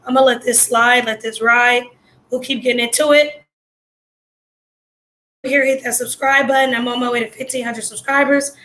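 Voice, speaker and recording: A hoarse woman giving casual, blunt live reaction commentary full of slang. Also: on the high side, steady tone, normal speed, pauses often, bright, forceful tone. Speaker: livestream commentator.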